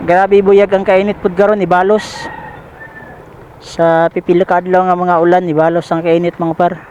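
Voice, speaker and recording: A man's voice: sits high, flat delivery, moderate pace, dull audio. A Filipino man with a Bisaya accent chatting casually and informally to viewers as he rides, recorded on a helmet intercom. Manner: informal, repeats phrases, addresses viewers as pals. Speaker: motorcycle delivery rider vlogger. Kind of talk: casual vlog chat while riding. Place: outdoors riding a motorcycle in traffic. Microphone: helmet intercom microphone. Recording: helmet intercom with loud traffic noise.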